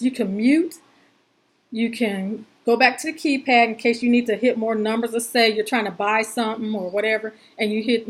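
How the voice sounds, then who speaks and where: A woman's voice: on the high side, poor audio. A woman presenting an informal step-by-step tutorial in a chatty, folksy and reassuring way, using plain everyday words.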